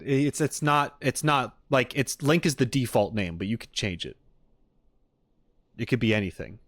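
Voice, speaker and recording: A man's voice: medium pitch, fairly even intonation, normal speed, fairly bright tone, dry recording. Male streamer, mid-range voice, casual and opinionated with a jokey streak, close dry microphone sound. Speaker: streamer reacting to game clips. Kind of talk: casual livestream chat.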